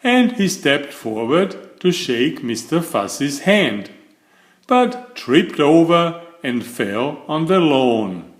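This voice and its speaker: A man reading a children's storybook aloud in a measured, expressive storytelling manner. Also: a little high. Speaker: storybook narrator. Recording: roomy, echoing sound.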